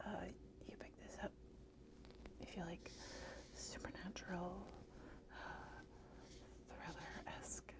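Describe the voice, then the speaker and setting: Woman, slow unhurried whisper, slightly hoarse, hesitant ASMR book reviewer. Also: fairly low pitch, flat delivery, rough recording. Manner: soft hesitant whisper with frequent fillers.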